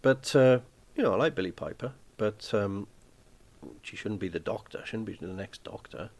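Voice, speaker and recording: A man hosting a live stream from his small flat, chatting casually and rambling with lots of ums and restarts. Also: lowish voice, moderate pace. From Wales.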